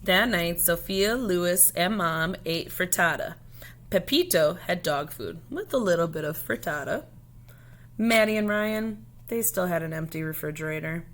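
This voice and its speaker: A woman reading a children's storybook aloud in a deliberate, expressive way. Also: on the low side.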